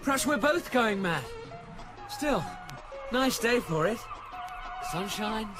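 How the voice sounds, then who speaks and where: Radio comedy part: breezy, excitable traveller, strikingly high and animated. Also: male voice, slow pace, loud music behind the voice. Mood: puzzled, breezy. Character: breezy, eccentric, excitable male space-travelling companion. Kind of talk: comic British radio acting.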